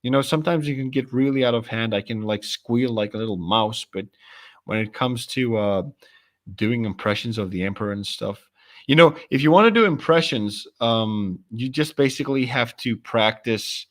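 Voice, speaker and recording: A young man with a deep voice, chatting casually on a stream and telling stories in a rambling, animated way with lots of fillers and restarts. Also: moderate pace. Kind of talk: casual chat.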